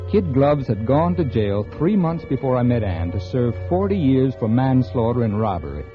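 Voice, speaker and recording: Actor as a cynical, vain novelist, old radio. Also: male voice, mid-range voice, sing-song, moderate pace, lightly acted. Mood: cynical, brooding. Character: vain, cynical mystery novelist, curt and condescending with his sweetheart. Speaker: lead actor and narrator. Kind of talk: 1950s American radio drama acting, first-person narration. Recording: muffled vintage radio recording, music behind.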